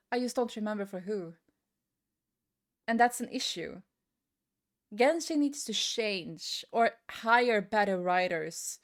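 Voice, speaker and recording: A woman giving a casual, rambling opinion rant as a gaming video creator, often hesitating and repeating herself. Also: medium pitch.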